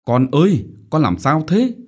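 A man's voice: on the high side, sing-song, a little slow, husky, voice pushed up, exaggerated voice. A man doing a fretful, doting mother. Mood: coaxing worried. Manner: coaxing, gentle, worried.

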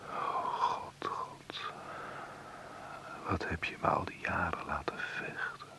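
An actor in a radio play voicing a bitter, anguished man brooding alone in a whispery, gravelly voice. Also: deep voice, huge pitch swings, slow pace, pushed and bright, heavily acted.

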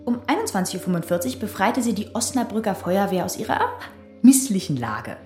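A woman's voice: medium pitch, very animated, brisk, mellow, reverberant, faint music behind, close to an everyday voice. An actress playing a blunt, provocative female police detective in a German radio crime drama, needling with dry irony. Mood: probing. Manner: blunt, needling, dryly ironic.

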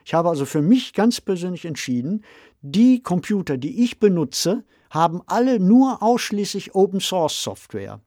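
A middle-aged or older man talks as a podcast co-host. His voice is very mellow and slightly high for a man. He phrases things carefully and articulately, and the sound is close and dry. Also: no room sound. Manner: measured, deliberate, articulate. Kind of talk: conversational podcast discussion.

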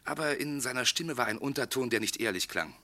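An actor playing a confident police inspector in a German crime radio drama, clipped and matter-of-fact. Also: male voice, medium pitch, lively intonation, brisk, bright, forceful tone, no room sound. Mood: confident recounting. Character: brisk, confident police inspector running a stakeout.

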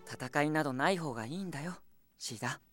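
A man playing a gentle, reluctant sixteen-year-old prince in an anime audio drama, soft and restrained. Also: on the high side, fast talker, music in the background, lightly acted. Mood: subdued, sad.

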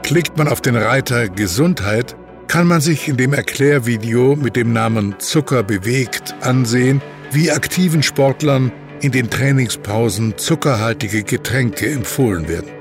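A man's voice: medium pitch, animated, unhurried. Male documentary-style narrator over music.

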